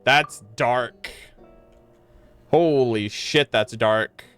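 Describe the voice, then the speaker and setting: A man's voice: medium pitch, drawn-out words, a bit bright, clean tone. A man streaming a game, reacting and musing aloud in casual, slangy speech with short interjections. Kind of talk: casual live reaction and commentary. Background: game music.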